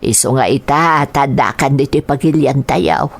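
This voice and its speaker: A woman voicing a loving older mother with an Ilocano accent, gentle and grateful, in an audio drama. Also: very deep voice, normal speed, gravelly, pitched below the performer's own voice, full character voice. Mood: tender.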